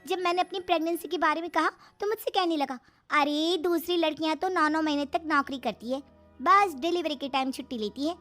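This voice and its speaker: Woman voicing a high, plaintive young wife. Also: brisk, fairly bright tone. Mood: worried complaint. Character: distressed young married daughter complaining about lazy husband. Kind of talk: animated moral story dialogue. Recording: music behind the voice.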